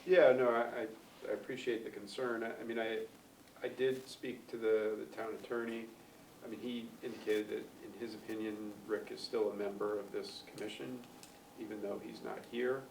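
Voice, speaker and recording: A man's voice: fairly low pitch, a little mellow. A man chairing a town commission meeting, speaking hesitantly with many restarts. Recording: echoey room, distant mic, light noise.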